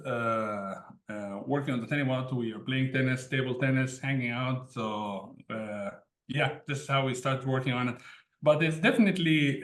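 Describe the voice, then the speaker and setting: A man from Egypt, a tech founder telling his story as an interview guest in an easygoing way, often laughing between sentences. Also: mid-range voice. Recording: roomy echo.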